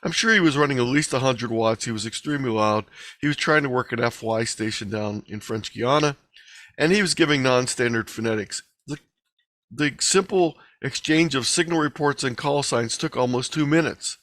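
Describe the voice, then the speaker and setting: A man with a mid-range voice presents an instructional online lecture. He explains in a measured, deliberate manner, phrasing points clearly as a presenter would. The sound is dry, with little room echo, through a video call microphone. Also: mid-range voice, a bit bright. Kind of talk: instructional webinar lecture with slides.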